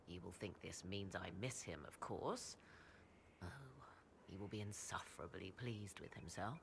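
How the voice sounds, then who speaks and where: An actress playing a proud, sardonic sorceress in a video game, with archaic, formal, haughty diction. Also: female voice, extremely low pitch, a little slow, creaky, background noise, full character voice. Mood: dry, sardonic. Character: proud, sardonic sorceress, devoted mother and lover. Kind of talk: dramatic video game dialogue.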